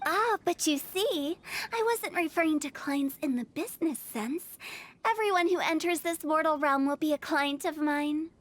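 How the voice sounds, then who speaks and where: A woman's voice: sits high, moderate pace, a bit bright. A woman voicing an impish young female funeral parlor director who teases with macabre humor, with game music behind. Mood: playful. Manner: playful, teasing, macabre humor. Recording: game audio with background music.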